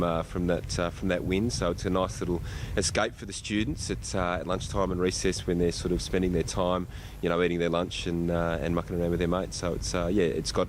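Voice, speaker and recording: An Australian man speaking as a school principal in an informal interview, in a hesitant, rambling way with many fillers. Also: deep voice. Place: outdoors at a school site. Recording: outdoor location, loud traffic-like ambient noise.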